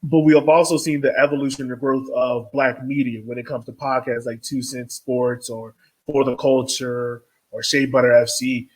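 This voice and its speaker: A man's voice: mid-range voice, level tone, normal speed, poor audio. A man hosting a podcast interview in a casual, laid-back way with informal slang. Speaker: podcast host.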